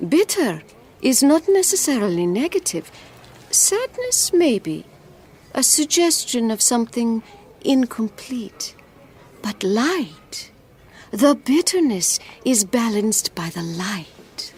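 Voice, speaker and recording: A woman playing a composed, probing spiritualist fortune teller in an audio drama, speaking in a deliberate, knowing manner. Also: on the high side, very animated, a little mellow, slightly rough, full character voice.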